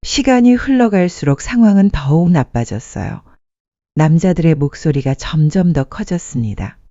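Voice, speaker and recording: Mellow storyteller with animated pitch. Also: normal speed, no room sound. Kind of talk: scripted dramatic story narration. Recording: muffled dry studio sound.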